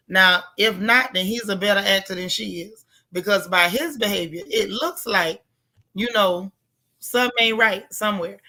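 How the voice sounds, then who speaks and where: A woman hosting a casual live recap chat, with a loud, gravelly, hoarse voice, greeting viewers by name with folksy slang. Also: mid-range voice. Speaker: livestream host.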